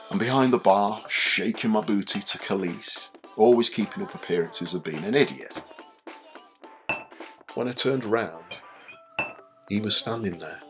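A man's voice: lowish voice, a bit bright. An actor playing a young, self-deprecating bar worker, telling his story in a conversational, wry, confiding radio drama monologue.

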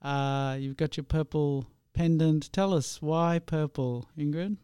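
A man from India hosts an interview in a friendly, rambling way. His voice is slightly high, mellow and smooth. The sound is dry. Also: steady tone, takes it slowly, no room sound. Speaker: host.

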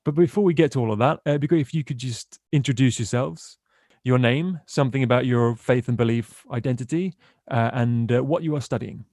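A man's voice: medium pitch, brisk, darkish tone, dry recording, clean studio sound. Friendly male podcast host. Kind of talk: hosting a podcast discussion.

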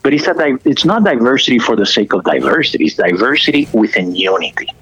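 A man's voice: mid-range voice, big pitch swings, fairly bright tone, slightly rough. A middle-aged man from Puerto Rico, talking earnestly as an interview guest and often restarting his sentences. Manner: earnest, halting with frequent restarts. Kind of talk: conversational interview. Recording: dull, muffled dry audio.

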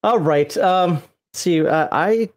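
A man with a slightly raised pitch chats loosely on a live stream. He rambles, fills gaps with "uh" and "you know," and laughs often, his voice a bit worn from days of talking. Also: slow pace. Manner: rambling, laughing, many fillers. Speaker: streamer co-host. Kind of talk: casual live-stream chat.

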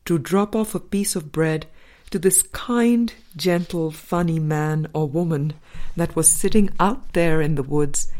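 A woman with a low-pitched voice reads a spiritual audiobook. Her delivery is slow and deliberate, with pauses between phrases. The tone is soft-edged and mellow. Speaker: audiobook reader. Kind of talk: scripted spiritual audiobook narration.

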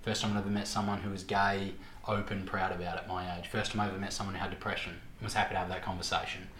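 A man in his twenties with an Australian accent, telling his story casually as an interview guest, reflective and halting as he searches for words. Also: deep voice, flat delivery, fairly bright tone. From Victoria, Australia. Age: 20s. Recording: echoey room, background noise.

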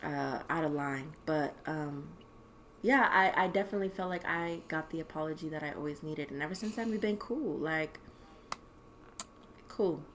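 A young woman co-hosting a podcast, talking casually in an animated, rambling way with plenty of swearing. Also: deep voice, normal speed, slight background noise. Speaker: podcast co-host. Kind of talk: casual chat.

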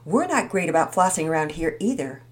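Brief: woman voicing a sweet, homely mother, gentle and modest, in a children's story. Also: deep voice, big pitch swings, a little fast. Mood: warm. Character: warm, humble mother.

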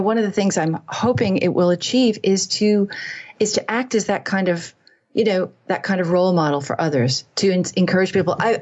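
Woman, lowish pitch, conversational guest, animated, self-deprecating, muffled band-limited line. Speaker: guest author. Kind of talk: conversational interview. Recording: slightly dull, band-limited remote line.

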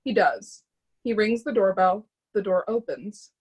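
A woman's voice: medium pitch, lively intonation, measured pace, slightly rough. A woman reading stage directions as scripted narration for a readers theatre drama.

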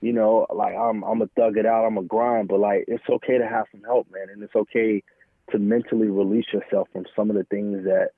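An American man speaking candidly and informally as an interview guest, halting often and peppering his talk with "man" and "you know". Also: lowish voice, little pitch movement, breathy edge, no echo. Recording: thin phone-like line, dry.

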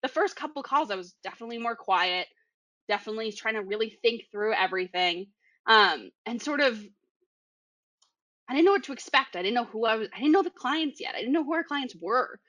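A woman's voice: fairly high pitch, lively intonation, a little fast, fairly bright tone, no room sound. A young woman from the Boston area with a Boston accent, chatting casually and laughing often. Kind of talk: casual podcast chat.

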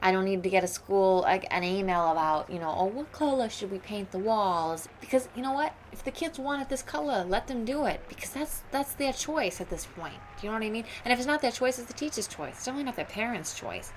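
A woman's voice: medium pitch, animated, fairly quick, few pauses, slight background noise, natural voice, rough recording. A woman playing a snobbish, judgmental wealthy school mother in an improvised radio play, rambling on with boastful opinions. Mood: irritated, dismissive.

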